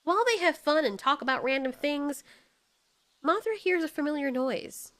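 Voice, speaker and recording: A woman narrating a scripted story in an expressive, storytelling style. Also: high voice, normal speed.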